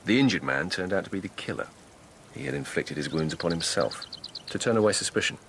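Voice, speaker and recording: Grave court investigator, extremely low voice, gravelly, measured, probing, radio drama. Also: male voice, animated, fairly bright tone, a little hiss or hum, exaggerated voice. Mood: grave, cautioning. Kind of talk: 2000s radio drama acting.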